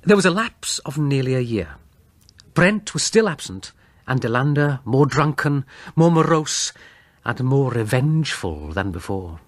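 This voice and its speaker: A man narrating a Gothic tale as an audiobook, theatrical and deliberate in his phrasing. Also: mid-range voice, big pitch swings, no echo.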